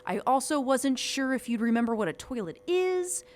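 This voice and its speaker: A woman playing a breezy, excitable, slightly inept witch guide in an audio drama, chatty and theatrical. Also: high voice, normal speed.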